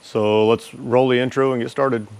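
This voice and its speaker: A man giving a casual product review as a motorcycle vlogger, speaking informally and haltingly. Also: mid-range voice, fairly even intonation, background noise. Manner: informal, halting, unhurried delivery.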